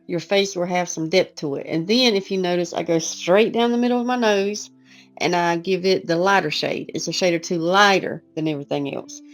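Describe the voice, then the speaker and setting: Hoarse woman with a gravelly voice. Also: fairly low pitch. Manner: casual, chatty, self-deprecating. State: hoarse, losing her voice. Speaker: art teacher. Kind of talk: relaxed painting tutorial voice-over.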